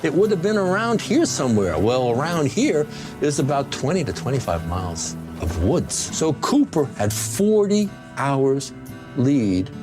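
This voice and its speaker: A man's voice: medium pitch, big pitch swings, unhurried. A man interviewed for a documentary, retelling events and acting out both sides of an exchange. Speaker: documentary interviewee, case enthusiast. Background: clear music.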